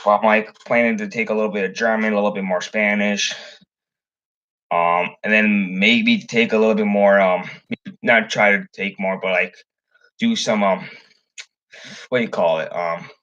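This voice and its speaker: A young man from the Chicago area hosts a casual fishing livestream. His slightly low voice wanders through ums and restarts. The stream audio is dull and lo-fi. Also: fairly even intonation, moderate pace, long pauses, a bit bright. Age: young adult. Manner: rambling, full of ums and restarts. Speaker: fishing channel host.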